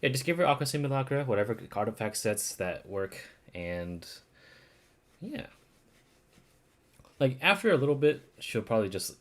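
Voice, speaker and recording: A game streamer chatting casually in live commentary, informal and sweary, with stop-start phrasing. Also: sits low.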